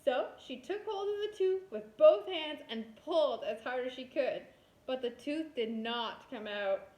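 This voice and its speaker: A woman's voice: high-pitched, lively intonation, unhurried, darkish tone. A woman kindergarten teacher reading a storybook aloud expressively, talking directly to young children. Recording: roomy echo.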